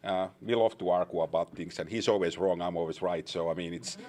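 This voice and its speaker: A man's voice: deep voice, fairly even intonation, brisk, a little mellow. A man from Finland with a Finnish accent, addressing a conference audience with wry humor and questions. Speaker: conference presenter. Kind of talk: conference panel talk.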